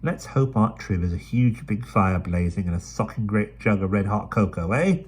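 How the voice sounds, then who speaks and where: A man's voice: on the low side, animated, normal speed, darkish tone, breathy edge. A man voicing a plucky, chatty London street girl with broad cockney-style dialect in an audiobook. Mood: cheery. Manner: bold, chatty, upbeat. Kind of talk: audiobook character voice.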